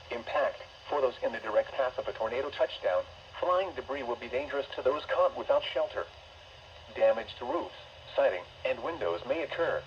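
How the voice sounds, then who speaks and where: Lo-fi radio audio, faint noise, synthetic man's voice, stilted and formal, automated severe weather warning readout. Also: mid-range voice. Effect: synthesized voice over weather radio. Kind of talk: scripted emergency weather warning bulletin. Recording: dull, noisy weather radio broadcast.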